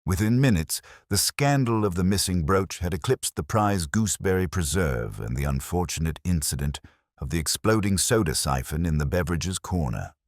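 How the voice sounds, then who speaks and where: A man's voice: low voice, lively intonation, normal speed, no echo, studio quality. A man reading scripted narration of a comic village mystery in a wry, literary storytelling manner.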